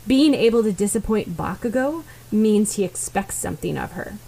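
A woman's voice: mid-range voice, expressive, moderate pace, creaky, mellow, slightly rough, low-quality recording. A woman reading fan fiction aloud as scripted story narration. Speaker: fan fiction podfic reader.

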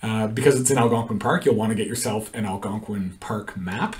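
A man's voice: on the low side, fairly quick, darkish tone, distant mic. A middle-aged man explains a canoe route over a shared screen, talking in a hesitant way with many ums and uhs. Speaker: canoe trip guide. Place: indoor room.

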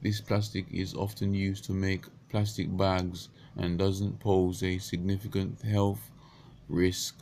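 A man in his thirties with a croaky morning voice, talking unscripted into a webcam in a small bedroom, halting with many restarts and stumbles. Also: low voice, level tone, mellow, slight background noise. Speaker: vlogger. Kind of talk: unscripted casual monologue. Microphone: webcam at close range.

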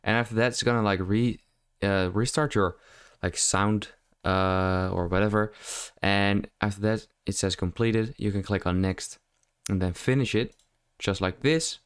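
Clear, slightly low-voiced man presenting a tutorial. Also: fairly even intonation, unhurried, no echo, clean studio sound. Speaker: tutorial host. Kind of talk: casual software tutorial voice-over. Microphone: close mic, screen-recording voice-over.

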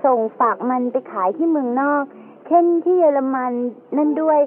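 A woman's voice: sits high, normal speed, lightly acted. A woman playing a curious, polite little girl who asks questions in a childlike way in an educational radio drama. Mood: curious, eager. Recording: tinny band-limited audio with faint music.

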